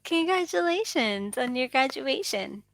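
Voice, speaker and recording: A woman's voice: high-pitched, animated, measured pace, vocal fry, a bit bright, no echo. A woman with an American accent chatting casually as an English tutor, encouraging and responsive with frequent affirmations. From United States. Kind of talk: casual one-on-one conversation practice. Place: online video lesson, indoors.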